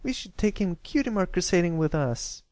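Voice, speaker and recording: Man doing a sweet, high-voiced little filly. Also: animated, normal speed, darkish tone, no echo. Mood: excited. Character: sweet, naive, excitable little filly pony.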